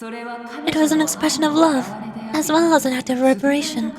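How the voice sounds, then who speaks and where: Woman reading as a high-voiced, solemn ancient spirit ruler. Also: steady tone, normal speed, music in the background, close to an everyday voice. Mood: solemn, formal. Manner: formal, explanatory. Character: ancient, all-knowing, solemn supernatural ruler from a fantasy game. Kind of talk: reading game dialogue aloud.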